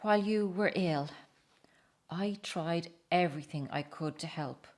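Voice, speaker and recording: A middle-aged estranged wife and mother in a radio play acted by a woman, earnest and wounded. She speaks haltingly and with feeling, as if confessing, sounding remorseful and a little defensive. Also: lowish voice, lightly acted. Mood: pained, confessional. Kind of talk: radio drama acting.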